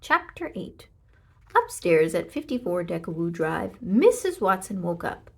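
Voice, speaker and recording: A woman narrating a children's storybook aloud, with expressive, deliberate delivery and emphasis on short sentences. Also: lowish voice, gravelly, breathy edge. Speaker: storybook reader.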